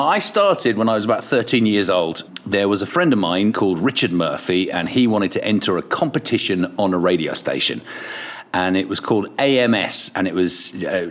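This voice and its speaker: A middle-aged man with an English accent, telling radio stories in a relaxed, chatty way and swearing casually. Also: on the low side. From Ireland. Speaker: podcast guest, radio presenter. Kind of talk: casual chat.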